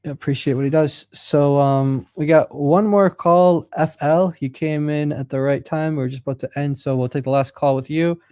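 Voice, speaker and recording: A man's voice: on the high side, steady tone, moderate pace, few pauses, a little mellow, clear, resonant tone, no room sound, clean studio sound. A man co-hosting a live call-in stream, easygoing and thinking aloud. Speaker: co-host. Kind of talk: casual call-in discussion.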